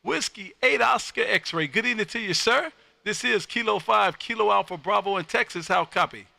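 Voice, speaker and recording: An American man works the ham radio as a live stream host, with a very sharp, punchy voice. He is loud and folksy, repeating his phrases. The sound is close and dry. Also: sits high, normal speed, gravelly, no room sound.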